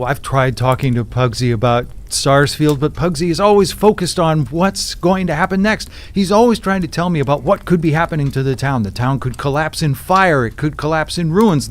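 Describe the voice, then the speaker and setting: A somewhat high male voice carries a man's performance of an earnest small-town eccentric. He is stubborn and insistent, talking in the loose, conversational way of improvised radio drama. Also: close to an everyday voice. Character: earnest, stubborn, eccentric man devoted to his cat.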